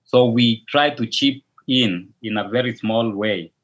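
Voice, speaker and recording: A man's voice: medium pitch, unhurried, fairly bright tone. A Kenyan man and pastor, a native Luo speaker, answering interview questions hesitantly, often repeating and restarting words. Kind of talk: interview answers over a call. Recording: dull lo-fi audio.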